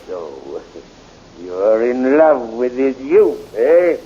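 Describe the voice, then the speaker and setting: A slow, gloating tyrant king. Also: medium pitch, very animated, a crowd in the background. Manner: slow, gloating, with menacing laughs. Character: tyrannical male bird-man king, gloating. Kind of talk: 1930s radio drama acting. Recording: muffled old radio recording.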